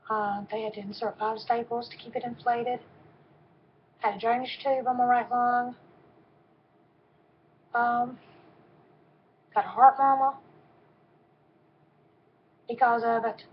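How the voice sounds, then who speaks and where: A woman in her fifties with a soft voice, giving a personal testimony in a halting way with frequent pauses and ums. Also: on the high side, a little hiss or hum. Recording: dull, lo-fi recording.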